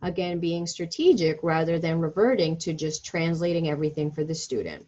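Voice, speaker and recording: A woman presents a teacher-training webinar and reads through her slides in a steady, instructive manner. Her voice sits somewhat low. The audio is a little muffled and lo-fi. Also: brisk.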